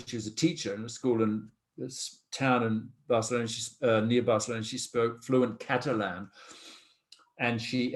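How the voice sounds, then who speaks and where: A male tutor leads a seminar discussion over a video call. His voice sits at an ordinary middle pitch. He thinks aloud and drifts into personal anecdotes. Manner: reflective, digressive, anecdotal. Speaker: course tutor.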